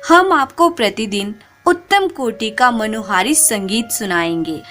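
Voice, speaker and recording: An elderly storybook courtier, voiced by a woman narrator, offering gentle, respectful counsel. The words come slowly with expressive pitch, over background music. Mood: gentle, advising.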